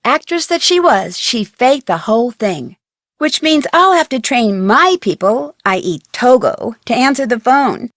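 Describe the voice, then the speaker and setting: A woman's voice: a little high, sing-song, measured pace, fairly bright tone, dry recording, studio quality. A woman voicing a curious, plucky young female detective in a video game, musing aloud expressively. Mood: narrating, wry.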